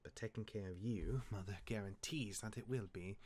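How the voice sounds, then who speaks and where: Man playing a deep-voiced, world-weary cursed demigod. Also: a little mellow, husky. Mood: grave, resigned. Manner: measured, storytelling, dramatic pauses. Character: adult male demigod, cursed, wry mentoring older brother. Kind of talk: dramatic audio roleplay dialogue.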